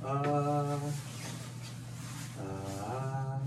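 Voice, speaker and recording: A young man, a rapper in the studio, talking quietly and low-key between takes. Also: very slow, noisy background. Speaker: rapper recording vocals. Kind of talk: casual studio chat. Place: small home recording studio, indoors. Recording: roomy, distant.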